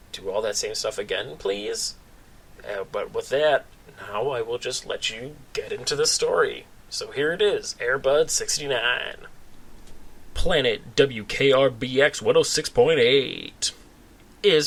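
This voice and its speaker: A man's voice: mid-range voice, fairly bright tone. A man narrating a scripted comedic story as its host, in a conversational way with wry asides.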